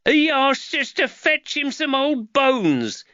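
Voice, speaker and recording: Guy voicing a sulky teenage lad, squeaky and whiny, lively pitch. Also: slow, dry recording, lo-fi sound, heavily acted. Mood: accusing. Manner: whiny, protesting. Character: sulky scowling teenage boy.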